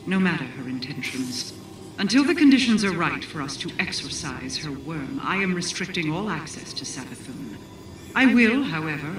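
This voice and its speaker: A woman playing a regal, guarded queen in a video game, formal, measured and commanding. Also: sits low. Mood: stern, commanding. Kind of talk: video game cutscene acting. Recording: echoey, distant, loud music behind.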